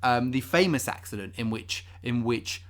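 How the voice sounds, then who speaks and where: A man's voice: mid-range voice, lively intonation, moderate pace, fairly bright tone, close to an everyday voice. A man playing an earnest podcast host who hesitantly and blithely excuses film disasters in mock-serious banter.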